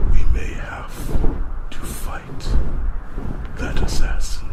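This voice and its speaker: A man voicing a huge, proud, protective dragon with a growling, breathy voice, telling his tale solemnly with dramatic pauses in an ASMR fantasy roleplay. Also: close to an everyday voice. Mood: somber, resolute. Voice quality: very deep, gravelly, breathy growl. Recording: loud rushing ambient noise over voice.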